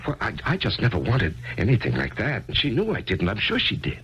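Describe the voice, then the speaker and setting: A man's voice: on the low side, huge pitch swings, brisk, a bit bright. An actor playing a grieving middle-aged man, hesitant and stammering, earnest and unsure, in a 1970s radio drama. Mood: anxious. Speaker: lead character and narrator. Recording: dull old radio broadcast with music.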